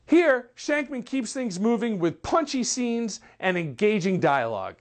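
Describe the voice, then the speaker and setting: A film critic delivering a scripted movie review in an articulate, measured, wry manner. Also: medium pitch, big pitch swings.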